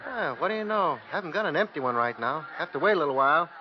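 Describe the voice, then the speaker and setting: Actor as a high-pitched, offhand male clerk. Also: lively intonation, quick pace, a little hiss or hum, lightly acted. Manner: casual, colloquial. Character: offhand, chatty bus station clerk. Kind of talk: 1950s radio drama acting. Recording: dull old 1951 radio recording.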